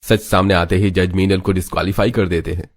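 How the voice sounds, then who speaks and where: A man's voice: low-pitched, little pitch movement, brisk. A man narrating a scripted dramatic family story as a storyteller. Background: background music.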